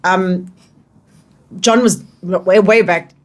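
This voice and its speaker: A woman's voice: on the low side, fairly even intonation, extremely slow. Woman in casual conversation. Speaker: radio interviewer. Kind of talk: casual chat.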